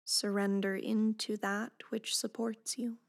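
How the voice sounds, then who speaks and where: A woman leads a guided meditation in a soft, breathy voice, giving gentle, unhurried instructions with phrase endings that fall close to a whisper. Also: mid-range voice, level tone.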